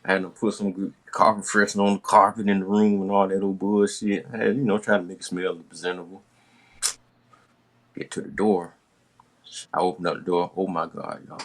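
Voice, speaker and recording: A man's voice: low voice, little pitch movement, brisk. An American man hosting a podcast, casually telling stories from his younger days in slangy, profane talk, acting out the dialogue as he goes. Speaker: podcast host.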